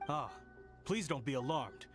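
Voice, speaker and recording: An actor playing a dutiful guard in a video game, formal, courteous and reassuring. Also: male voice, mid-range voice, expressive, a little slow, lightly acted. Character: courteous, dutiful male guard on road duty. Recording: clear background music behind.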